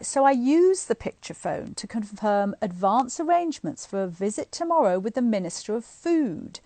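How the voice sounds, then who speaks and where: A middle-aged woman reading a book aloud to online listeners in an expressive, informal, chatty way. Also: mid-range voice, no room sound. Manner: informal, chatty asides between expressive read passages. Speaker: guest reader of a bedtime story series. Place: indoors at home, on a live online stream.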